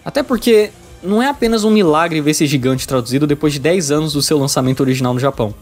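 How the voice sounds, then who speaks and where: A man's voice: fairly high pitch, expressive, fast talker. A man with a Brazilian accent reading a scripted video game review in an emphatic, opinionated way. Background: background music.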